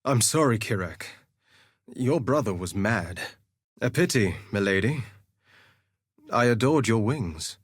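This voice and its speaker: An actor playing a vain, flirtatious gladiator duelist in a video game, with a theatrical, suave and sardonic delivery. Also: male voice, fairly low pitch, animated, slow pace, pauses often, husky, no echo, studio quality, exaggerated voice. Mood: somber, regretful. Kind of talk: scripted game voice lines, theatrical quips.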